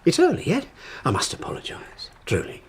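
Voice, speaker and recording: An actor playing a desperate middle-aged uncle in an audio drama, blustering and wheedling behind a forced joviality. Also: male voice, fairly high pitch, huge pitch swings, brisk, a little hiss or hum. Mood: desperate, pleading.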